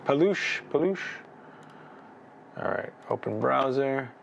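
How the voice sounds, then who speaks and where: A man's voice: mid-range voice, animated, takes it slowly, gravelly, highs cut off, a little hiss or hum. A man hosting a live photo critique, chatting casually, thinking aloud with restarts and asking "right?", his voice a bit worn. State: losing his voice. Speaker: photography livestream host.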